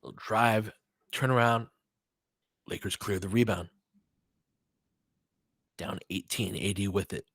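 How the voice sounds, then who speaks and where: A man's voice: on the low side, fairly even intonation, slow, long pauses, a bit bright, breathy edge, dry recording, studio quality. A man doing informal live basketball play-by-play, mixing short clipped calls with conversational coaching analysis and fan-like asides.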